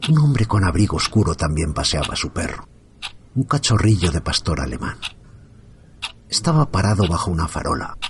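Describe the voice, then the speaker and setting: Deep-voiced man narrating a horror tale. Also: sing-song, a little hiss or hum. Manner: slow, hushed, suspenseful. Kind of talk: scripted horror story narration.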